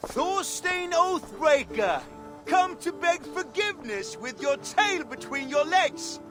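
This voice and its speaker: A pompous, taunting Viking navigator, very high-pitched for a man. He swings widely in tone and takes his time, a sneering rival from a game cutscene. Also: sing-song, slow, over music, exaggerated voice. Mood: sneering, boastful. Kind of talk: dramatic video game acting.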